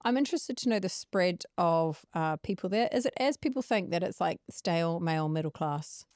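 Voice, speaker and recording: A woman with a New Zealand accent, hosting a casual interview, lively and quick to laugh. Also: on the low side, dry recording, studio quality. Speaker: podcast host.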